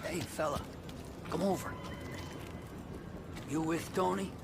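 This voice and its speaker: An actor playing a wary vagrant guide in a crime game, speaking in clipped, informal phrases. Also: male voice, a little high, slow pace, vocal fry, very noisy.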